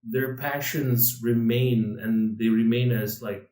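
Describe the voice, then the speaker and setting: A man's voice: mid-range voice, fairly even intonation, slow, mellow, far from the mic. A man co-hosting a casual podcast chat, rambling through his thoughts with frequent restarts and fillers. He sits a little back from the mic, so his voice carries some room echo. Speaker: podcast co-host.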